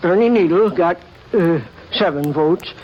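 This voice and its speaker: A man's voice: sits high, unhurried. An actor playing a fussy, hesitant small-town man who stammers and coaxes, in a 1950s radio drama. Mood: sheepish. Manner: stammering, evasive, coaxing. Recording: dull old radio broadcast with faint hiss.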